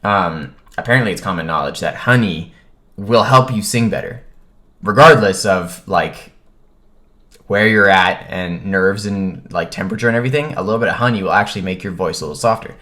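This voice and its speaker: Deep-voiced man telling a casual story. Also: brisk. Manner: animated retelling, acts out quoted remarks. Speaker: vlogger telling a personal story.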